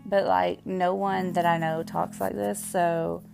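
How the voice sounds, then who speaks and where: A young woman with a Southern US accent, chatting candidly and rambling on her solo podcast, full of fillers and pauses. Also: fairly low pitch, fairly even intonation, creaky. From Southern United States. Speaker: podcast host.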